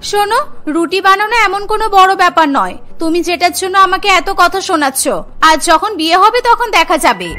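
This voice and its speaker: Vain, lazy cartoon daughter, voiced by a woman: dismissive, very high, bright delivery. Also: normal speed, faint music behind. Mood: defiant. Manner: dismissive, cocky. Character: vain, lazy young daughter who shrugs off housework. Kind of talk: animated cartoon dialogue.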